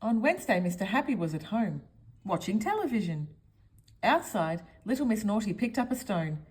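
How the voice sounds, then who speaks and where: Female storyteller for a children's picture book, expressive, highly varied intonation, speaks straight to young listeners. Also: moderate pace.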